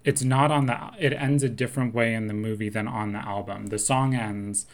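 A man's voice: lowish voice, fairly even intonation, a little fast. Analytical man chatting about film songs. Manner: thoughtful, analytical, quotes lyrics with relish. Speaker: podcast co-host. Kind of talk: casual chat.

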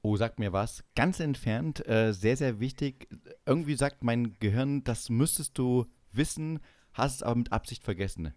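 A man's voice: mid-range voice, normal speed, darkish tone, dry recording. A man from East Germany chatting casually and jokingly as a podcast host, often searching for words. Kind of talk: casual comic chat.

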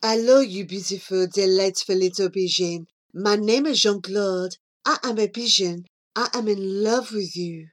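A woman's voice: mid-range voice, a little slow, few pauses, lightly acted. A woman voicing an amorous, theatrical male pigeon from a children's storybook, with a French accent and flowery, grand romantic speech. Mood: smitten. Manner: florid, grandly romantic, easily distracted.

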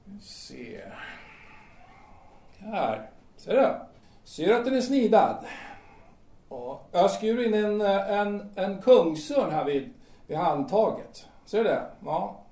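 A man acts out a calm, coaxing carpenter in an audio drama. His voice sits high for a man and moves animatedly, with hesitant, colloquial phrasing. It sounds roomy and distant from the microphone. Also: pauses often. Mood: friendly, proud.

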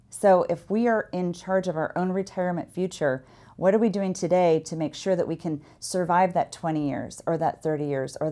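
A woman's voice: lowish voice, mellow. A middle-aged woman hosting a personal finance show, talking straight to the audience in an earnest, advisory way, full of rhetorical questions and "uh" fillers. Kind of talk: talking straight to camera about retirement planning.

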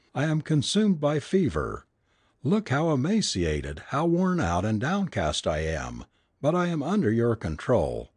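A man's voice: medium pitch, a little mellow, husky, no echo, studio quality, full character voice. A man voicing a worn-out, frightened and submissive woman in an audiobook, pleading in an anguished, melodramatic way. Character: worn-out, terrified, submissive woman, a medium's assistant. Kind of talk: dramatic audiobook character reading.

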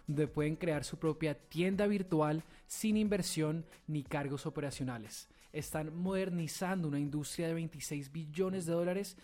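A man's voice: fairly high pitch, normal speed, few pauses, darkish tone. A man hosting a startup podcast, upbeat, reading a scripted opening and interviewing a guest. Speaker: podcast host.

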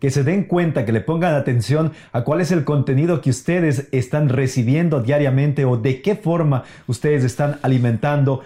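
A man co-hosting a podcast, explaining self-improvement ideas in a steady, lecturing way. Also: on the high side. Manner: steady, explaining tone with many fillers. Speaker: podcast co-host. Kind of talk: motivational talk.

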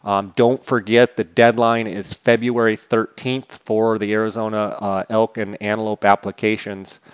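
A man with a lowish, smooth voice reads his podcast's sponsor spots in a flat, even tone. His talk is loose and casual, full of "uh"s and small restarts. The sound is dry and a little muffled. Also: moderate pace, dry recording. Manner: casual, many filler "uh"s and restarts. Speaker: podcast host.

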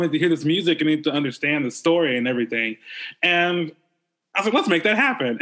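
A man's voice: on the high side, a bit bright. A man hosting a casual podcast chat, talking in a rambling, upbeat way with lots of fillers. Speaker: podcast host.

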